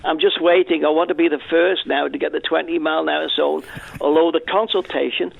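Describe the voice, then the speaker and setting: A man's voice: on the high side, fairly even intonation, fairly quick, gravelly, no room sound. A man calling a radio phone-in, chatty and joking. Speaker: caller. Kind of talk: phone-in call, casual chat. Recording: dry tinny phone line.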